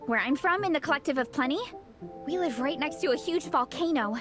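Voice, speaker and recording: A bubbly young hero mentor. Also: female voice, sits high, expressive, a little fast, music in the background. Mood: enthusiastic. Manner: bubbly, encouraging, laughs often. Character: upbeat young woman, eager hero-training mentor. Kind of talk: video game voice acting.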